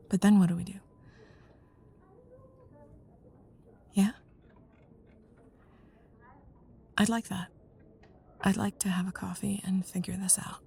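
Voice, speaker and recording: A woman playing a young student in love with her best friend, in an intimate ASMR roleplay, speaking softly and hesitantly, often near a whisper. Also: medium pitch. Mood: relieved, tender. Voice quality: soft breathy, husky, often near whisper. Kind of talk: intimate ASMR roleplay, one side of a dialogue.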